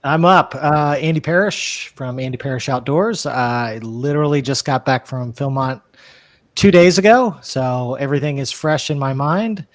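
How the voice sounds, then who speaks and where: Panelist: man, middle pitch, eager and jokey, video call. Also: normal speed. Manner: eager, playful. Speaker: panelist, outdoor creator.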